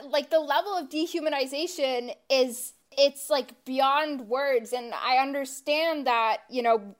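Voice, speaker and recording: A woman with a fairly high voice co-hosts a casual podcast. She talks with heat and swears freely, circling back on herself with fillers as she builds her point. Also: measured pace. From grew up in the US after her parents immigrated. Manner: impassioned, profane, rambling with many fillers. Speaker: podcast co-host.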